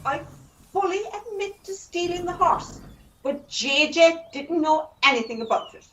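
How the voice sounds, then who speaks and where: A woman acts out an earnest, self-sacrificing woman in a radio play. Her very high voice swings as she pleads, a little distant from the mic. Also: lively intonation, a little slow, a bit bright, a little hiss or hum, heavily acted. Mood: earnest confession. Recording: muffled, distant amateur recording.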